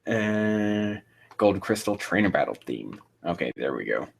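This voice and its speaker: A man with a low voice hosts a casual live reading. He rambles and swears freely, sounding exasperated as he picks at the writing. The sound has some room echo. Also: very animated, measured pace, vocal fry. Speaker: host reading fan fiction aloud on a live stream.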